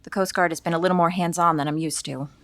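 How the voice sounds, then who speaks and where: A woman's voice: on the low side, steady tone, fast talker, close to an everyday voice. A woman playing a matter-of-fact police detective in a naturalistic audio drama, asking direct, clipped, probing questions. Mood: composed, apologetic.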